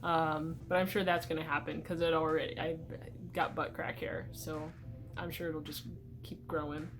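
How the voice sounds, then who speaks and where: A young man talking casually in a video diary update, chatty and relaxed, with many "like" and "um" fillers. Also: on the high side, moderate pace, music in the background. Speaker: vlogger.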